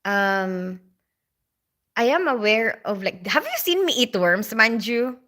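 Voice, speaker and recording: A woman's voice: fairly high pitch, big pitch swings, takes it slowly, fairly bright tone. A young woman from the Philippines with a Filipino accent, chatting casually on a livestream and reading out viewer comments, full of "like" and "you know". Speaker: livestream host.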